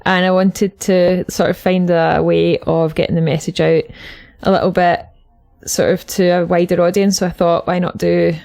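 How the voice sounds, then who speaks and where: A woman's voice: on the low side, level tone, normal speed, gravelly, darkish tone. A woman from Glasgow, speaking as a podcast host in introductions and casual interview chat. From Glasgow, Scotland.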